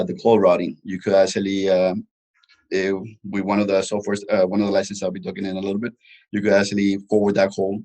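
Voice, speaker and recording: A man presenting an informal technical webinar as a product trainer, explaining haltingly with frequent restarts and repetitions. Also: low-pitched, dark tone, rough recording.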